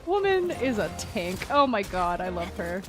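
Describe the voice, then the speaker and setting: A woman's voice: mid-range voice, very animated, normal speed. A woman livestreaming a game with casual, playful, gushing commentary over game music. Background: video game music and dialogue.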